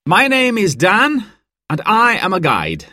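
A man's voice: sits high, wildly swooping pitch, unhurried, pushed and bright, no echo, clean studio sound, pitched above the performer's own voice, heavily acted. A man voicing an upbeat male mountain guide with an emphatic, instructive manner in a storybook.

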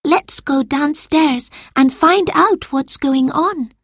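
An earnest young Roman boy from a children's storybook, played by a woman. His voice is pitched high, with an anxious edge, and the words come unhurried. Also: dry recording, clean studio sound. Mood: curious.

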